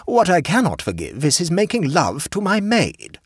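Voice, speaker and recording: A man's voice: on the high side, huge pitch swings, moderate pace, slightly rough, no echo, clean studio sound, voice pushed up, heavily acted. A man reading an audiobook, voicing a stern, imperious older aunt who speaks coldly and firmly. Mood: indignant. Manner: cold, firm, magisterial. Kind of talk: audiobook character voice.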